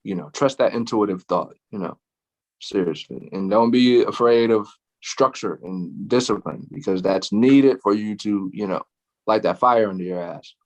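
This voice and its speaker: A man's voice: lowish voice, normal speed, low-quality recording. A man co-hosting a casual astrology chat, laid-back, with frequent laughs and lots of fillers. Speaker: astrology show co-host.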